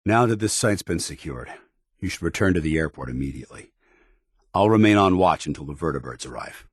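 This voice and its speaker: Deep-voiced, stern military paladin. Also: male voice, lively intonation, fairly quick, slightly rough, no room sound, clean studio sound. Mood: commanding. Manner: formal, clipped, commanding, earnest. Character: stern, earnest military paladin, loyal to his order. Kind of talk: video game companion dialogue.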